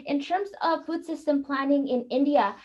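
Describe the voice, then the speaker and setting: Brief: woman, fairly high voice, earnest webinar speaker who halts and restarts often. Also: normal speed, a little mellow, highs cut off. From Indonesia. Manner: earnest, many fillers and restarts. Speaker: guest speaker. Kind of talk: webinar Q&A answers.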